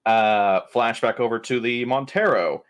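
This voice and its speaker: A man's voice: medium pitch, unhurried. A man playing in a tabletop game, casually improvising a recap with irreverent, profane jokes. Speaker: tabletop RPG player giving a session recap.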